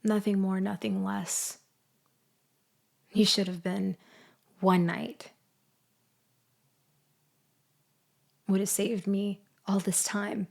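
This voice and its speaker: A woman playing a betrayed, vengeful ex-girlfriend in an audio roleplay monologue, taunting with deliberate, condescending delivery. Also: medium pitch, flat delivery, vocal fry. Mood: scornful. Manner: slow, deliberate, condescending, with sighs and pauses. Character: betrayed, vengeful ex-girlfriend confronting her framer, coolly taunting. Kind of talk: girlfriend ASMR-style dramatic roleplay monologue.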